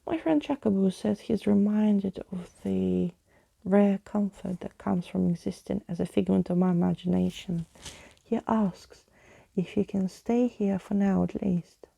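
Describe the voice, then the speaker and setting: A woman voicing a kind, plucky young girl in a storybook, earnest and tender, with a soft, breathy voice. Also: medium pitch, fairly even intonation, a little slow, heavily acted.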